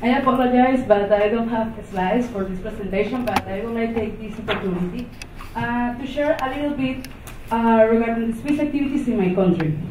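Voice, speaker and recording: A woman from Venezuela, a non-native English speaker with a Venezuelan accent, giving an unscripted conference talk in a halting way, with many restarts and fillers. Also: fairly high pitch, background noise. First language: not English. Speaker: conference presenter. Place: conference hall. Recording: echoey, distant, phone-like hall recording.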